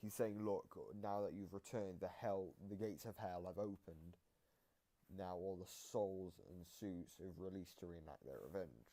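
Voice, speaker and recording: A man giving casual reaction commentary, explaining game lore in a halting, repetitive way. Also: sits low, mellow. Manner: explanatory, halting, repeats himself.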